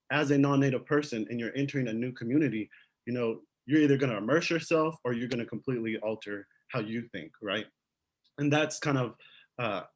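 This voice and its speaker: A man on an online panel speaking earnestly and often ending points with "right?". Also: mid-range voice. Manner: earnest, frequent "right?" tags. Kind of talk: panel discussion over video call.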